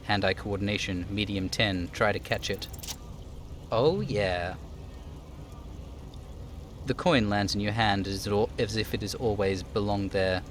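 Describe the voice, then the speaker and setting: A man's voice: fairly low pitch, unhurried, pauses often, slight background noise. A male streamer reading video game narration aloud live.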